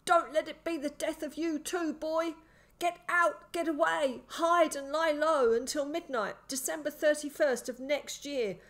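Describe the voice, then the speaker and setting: Woman acting a frantic, high-pitched crazed sick man. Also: steady tone, a little slow, hardly any pauses, a bit bright. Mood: desperate, urgent. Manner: frantic, gasping, spluttering. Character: crazed, terrified sick man giving desperate warnings. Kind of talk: audiobook character acting.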